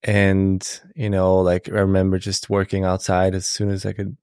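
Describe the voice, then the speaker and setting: A young man from Switzerland, a podcast guest, telling his story in a relaxed, halting way with many fillers. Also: low-pitched, clear, resonant tone, dry recording, studio quality. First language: Swiss German. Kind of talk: casual interview storytelling.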